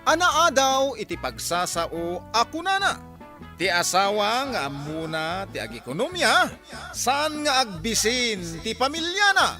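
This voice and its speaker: A man's voice: sits high, big pitch swings, measured pace, sharp tone, faint music behind. A man with an Ilocano accent giving a dramatic scripted introduction as a radio program narrator.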